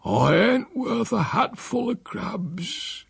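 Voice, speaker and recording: A man voicing a wily, bedridden old countryman, gruff and short of breath, with a slow, gravelly drawl. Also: very high-pitched, big pitch swings, a bit bright, dry recording, clean studio sound, voice pushed up, exaggerated voice. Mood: gloomy.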